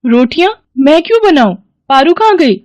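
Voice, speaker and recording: A woman's voice: sits high, very animated, moderate pace, a little mellow, no echo, pitched above the performer's own voice. A woman voicing a spoiled, haughty young woman who whines and snaps. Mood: whiny, defiant.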